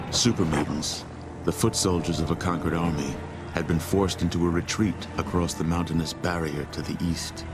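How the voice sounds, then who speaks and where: An actor playing a solemn chronicler of a post-apocalyptic world, narrating game cutscenes in a grave, measured storytelling manner. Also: male voice, sits low, close to an everyday voice. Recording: loud music behind the voice. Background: loud music.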